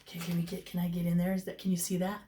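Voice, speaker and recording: A woman reading a storybook aloud to young children as a teacher, with animated delivery and chatty asides. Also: on the low side, very fast, noisy background. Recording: roomy echo, distant microphone.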